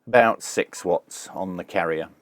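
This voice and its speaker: A man hosting a hands-on tech demonstration, explaining each step in a measured, informal way. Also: low voice. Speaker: host of a radio tech review channel.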